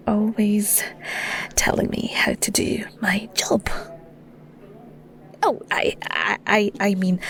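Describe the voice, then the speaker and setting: A woman with a Scottish accent playing a shy, flustered young werewolf shepherd in an intimate audio roleplay, stammering awkwardly in a soft, breathy voice. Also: mid-range voice. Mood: bashful, playful. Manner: stammering, giggly, awkward, hesitant.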